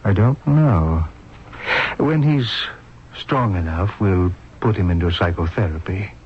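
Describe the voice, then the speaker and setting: An actor playing a grave, troubled doctor in a 1970s radio mystery, speaking in a somber, deliberate way. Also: male voice, low-pitched, slight background noise. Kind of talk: 1970s radio drama acting. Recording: dull lo-fi old radio recording.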